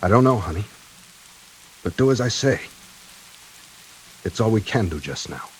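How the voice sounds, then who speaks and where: A man's voice: on the low side, sing-song, normal speed, rough recording. A man playing an earnest, decisive doctor and protective sweetheart in 1940s radio acting, speaking with emphatic urgency. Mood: urgent. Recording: hissy 1940s radio recording, rain behind.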